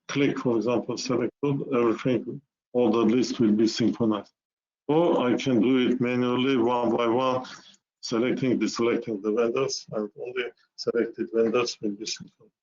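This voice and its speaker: A man narrating a software demo webinar, explaining step by step in a halting way with repeated words. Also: medium pitch. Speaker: software presenter. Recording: muffled lo-fi webinar audio.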